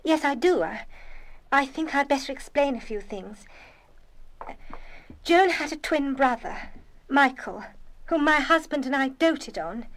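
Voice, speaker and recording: A woman playing a reserved, regretful mother in an audio radio play, speaking in a hesitant, halting way. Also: high voice, lively intonation, a bit bright, slight background noise, exaggerated voice, rough recording. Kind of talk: full-cast audio radio play acting.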